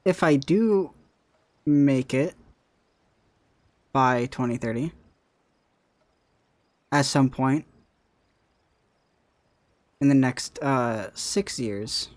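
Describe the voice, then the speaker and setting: A man's voice: mid-range voice, drawn-out words, long pauses, a little mellow. A young man, a content creator, chatting casually about his future, rambling and often repeating himself with "right?". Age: young adult. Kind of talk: casual chat.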